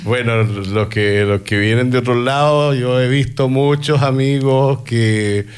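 A man's voice: mid-range voice, measured pace, smooth. A man hosting a radio show, chatting casually and joking with his guests. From Chile. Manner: chatty, jokey, rambling with restarts. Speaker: radio host.

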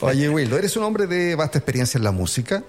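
A man's voice: a little high, very animated, brisk, a little hiss or hum. A man with a Chilean accent hosting a radio interview show, speaking in a friendly, presenting style. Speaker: radio talk show host. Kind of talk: formal radio introduction, then friendly interview. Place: hotel venue, voice on radio broadcast.

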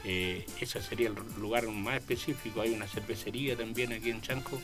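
An older Chilean man from a small coastal town, talking about his amateur theatre work in a halting, rambling way, with many restarts. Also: on the low side, fairly even intonation, over music. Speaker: guest, local amateur actor. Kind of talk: casual interview chat.

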